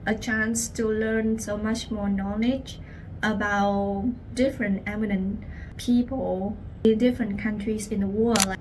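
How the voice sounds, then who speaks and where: A young Vietnamese woman, a language teacher, telling her personal story in English with a Vietnamese accent, speaking slowly and earnestly to the viewer. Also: medium pitch, a little mellow, distant mic, low-quality recording. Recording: voice a little off-mic, faint room noise.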